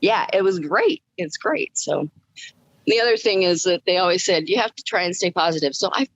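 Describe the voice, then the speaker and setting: A woman's voice: fairly low pitch, animated, normal speed, no room sound. Upbeat woman on dull, lo-fi audio. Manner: upbeat, chuckles as she talks. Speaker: podcast guest. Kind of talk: personal storytelling in a casual interview.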